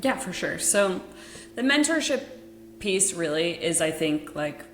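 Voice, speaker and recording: A woman's voice: on the low side, big pitch swings, unhurried, roomy sound, faint music behind. A young American woman whose first language is Dutch, a podcast host talking frankly and casually with many fillers. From United States.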